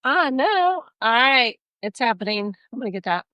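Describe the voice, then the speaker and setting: A woman with a Texas accent co-hosting a casual chat, animated and self-mocking as she tells stories. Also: fairly high pitch, moderate pace, no echo, highs cut off. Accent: Texan. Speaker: podcast co-host.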